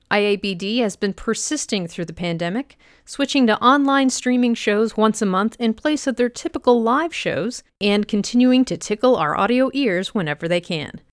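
A woman's voice: fairly high pitch, no room sound, clean studio sound. A woman hosting a podcast, presenting in a scripted style. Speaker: podcast host.

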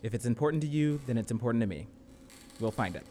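A man's voice: medium pitch, lively intonation, quick pace, warm tone, a little hiss or hum, natural voice. A man playing a fussy, protective house caretaker in an audio drama, prim, emphatic and easily exasperated. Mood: dismissive, conciliatory. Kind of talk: naturalistic audio drama acting.

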